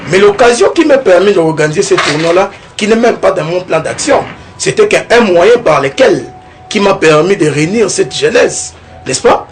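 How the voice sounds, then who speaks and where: Animated young Congolese man, lilting pitch. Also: normal speed, faint music behind. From Kongo Central, DR Congo. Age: young adult. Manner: animated, keeps adding "n'est-ce pas?", rising tone on points. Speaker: political candidate, guest. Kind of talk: TV interview.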